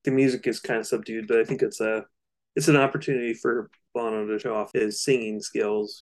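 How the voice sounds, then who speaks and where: A man co-hosting a casual discussion of music, speaking in hesitant, halting phrases. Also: lowish voice, level tone. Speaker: music discussion podcast co-host.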